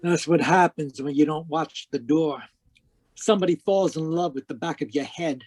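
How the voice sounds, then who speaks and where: A cynical, hard-boiled private detective, played by a man in a somewhat high voice. He delivers wisecracks deadpan, in the manner of a 1940s radio noir drama. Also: moderate pace, slightly rough. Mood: wry narration.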